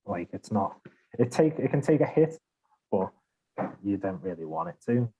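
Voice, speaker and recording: Mellow man, rambling gamer chat. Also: fairly low pitch, big pitch swings, normal speed, breathy edge, muffled recording. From Liverpool, England. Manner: rambling, laughs a lot, self-deprecating. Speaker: podcast panelist. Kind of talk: casual gaming chat.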